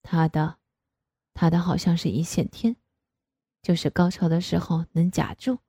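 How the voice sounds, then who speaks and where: A woman voicing an indulgent middle-aged father, lowering her voice to a husky tone. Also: level tone, moderate pace, mellow, no echo, clean studio sound. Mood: coaxing.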